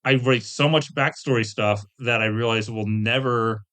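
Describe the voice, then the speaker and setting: A middle-aged man chatting casually as an interview guest, talking in a rambling, halting way and often correcting himself. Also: mid-range voice, fairly even intonation, a bit bright. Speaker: podcast guest, tabletop game designer.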